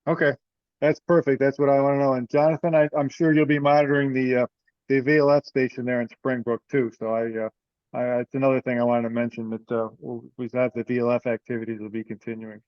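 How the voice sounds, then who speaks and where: A man's voice: medium pitch, level tone, quick pace, dry recording. A man leading an informal conference call meeting, speaking conversationally. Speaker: meeting leader. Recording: dry, slightly dull call audio.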